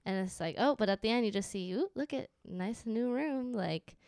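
A woman talking casually as a podcast co-host, chatty and quick with relatable asides. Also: a little high, animated, vocal fry, a little mellow, no room sound, studio quality. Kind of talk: casual conversation.

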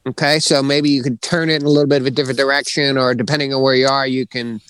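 A man's voice: mid-range voice, flat delivery, fast, fairly bright tone, clear, resonant tone, no room sound. A man co-hosting a show about writing in a casual, conversational way.

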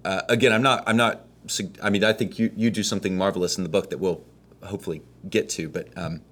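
A man's voice: sits low, steady tone, quick pace, fairly bright tone. A man hosting an interview, asking long, searching questions with frequent restarts. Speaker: podcast host. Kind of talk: conversational podcast interview.

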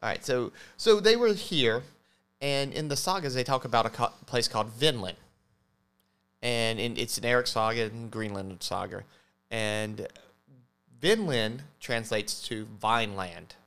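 A man co-hosting a podcast, explaining things casually in a halting way, often stammering and correcting himself. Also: medium pitch, a bit bright, clean tone. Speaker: podcast host.

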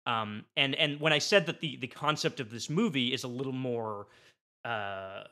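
Bright-voiced man making a casual comedic pitch. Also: moderate pace. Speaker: podcast panelist pitching an idea.

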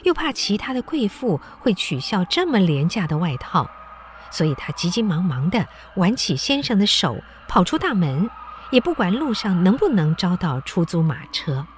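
A woman narrating a radio drama in an expressive, scripted storytelling style. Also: on the low side, normal speed, a little hiss or hum.

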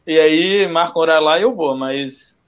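A man's voice: on the high side, normal speed, clean tone. A man with a Brazilian accent talking in a casual discussion. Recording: dull, lo-fi audio.

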